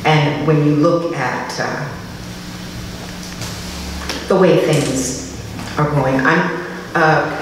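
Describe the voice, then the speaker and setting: A woman giving a live spoken-word poetry reading, with dramatic, deliberate delivery and informal asides. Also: low voice, steady tone. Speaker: poet reading at a literary festival. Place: live public reading in a reverberant room. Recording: echoey hall, distant mic, faint noise.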